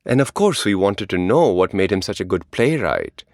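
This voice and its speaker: A man's voice: low-pitched, sing-song, moderate pace, darkish tone, dry recording, clean studio sound. A man co-hosting a podcast, reading conversational narration. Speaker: podcast co-host.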